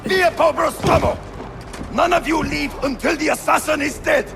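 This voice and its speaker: An actor playing a furious, ruthless military captain in a video game, shouting aggressively. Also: male voice, extremely high pitch, moderate pace, gravelly, music in the background. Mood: furious, urgent. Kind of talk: video game cutscene acting.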